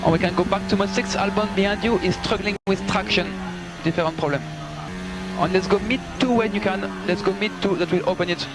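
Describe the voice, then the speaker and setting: A man's voice: high-pitched, brisk, very noisy. A male race engineer giving terse instructions over team radio, clipped and repeating key commands. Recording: motorsport radio with loud background sound.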